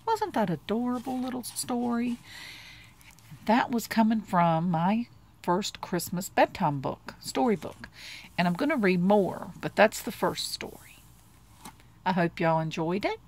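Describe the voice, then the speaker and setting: A woman reading a bedtime storybook aloud in an expressive storytelling style. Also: mid-range voice, measured pace, slight background noise. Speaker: storybook reader.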